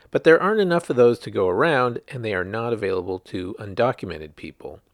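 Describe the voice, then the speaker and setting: A man's voice: lowish voice, creaky, dry recording, studio quality. A man reading a news article aloud as a scripted narration, in the manner of a reporter presenting a written story.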